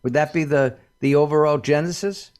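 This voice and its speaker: A man's voice: fairly high pitch, fairly even intonation, normal speed, a little mellow. A man hosting a talk radio show, chatty and upbeat, often rambling.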